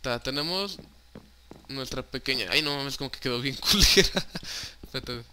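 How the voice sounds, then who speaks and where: A man's voice: medium pitch, animated, normal speed, pushed and bright, slight background noise. A young man with a Mexican Spanish accent, chatting casually and joking as he narrates his own Minecraft play, swearing freely and dropping in English words. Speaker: gaming YouTuber.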